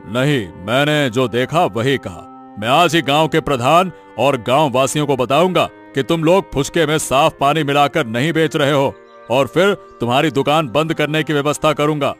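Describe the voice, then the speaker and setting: A man's voice: mid-range voice, moderate pace, a bit bright, close to an everyday voice. A man voicing a smug, bullying village businessman in a cartoon moral story, self-important and condescending. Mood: threatening. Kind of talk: animated moral story dialogue in Hindi. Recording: music behind the voice.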